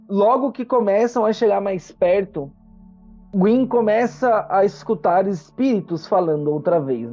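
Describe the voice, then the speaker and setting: A Brazilian game master narrating a tabletop roleplaying game with dramatic, unhurried pauses. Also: mid-range voice, dark tone. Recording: muffled lo-fi audio with music. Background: music.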